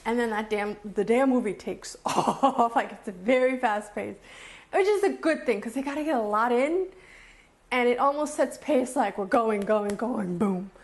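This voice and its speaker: A woman's voice: fairly high pitch, moderate pace. A woman reviewing casually and off the cuff as a video host, enthusiastic and emphatic, often repeating phrases to drive them home. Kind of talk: casual unscripted review to camera.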